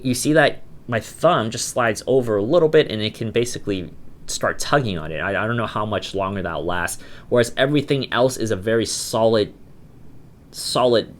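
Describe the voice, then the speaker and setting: A man with a cold talking casually as the host of a tech review vlog, chatty and loose with lots of fillers and restarts. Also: on the low side. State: has a cold, voice sounds a bit off.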